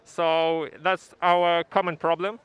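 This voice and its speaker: Russian accent guy at a rally, crisp high voice, talking off the cuff. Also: little pitch movement, slow pace, no echo, highs cut off. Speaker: rally participant giving an opinion. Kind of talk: street interview, unscripted. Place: outdoors at a city rally in Canada.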